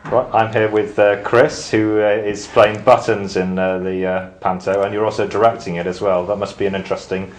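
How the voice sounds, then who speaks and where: A man's voice: on the low side, level tone, moderate pace, few pauses, a little hiss or hum. A man interviewing someone in a casual, conversational way.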